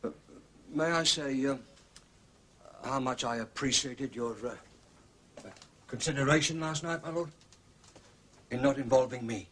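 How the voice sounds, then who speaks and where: An actor playing a courteous, loyal manservant in a period mystery drama, formal, tactful and hesitant. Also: male voice, mid-range voice, unhurried, pauses often, fairly bright tone, close to an everyday voice. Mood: tactful, grateful. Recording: clear background ambient noise.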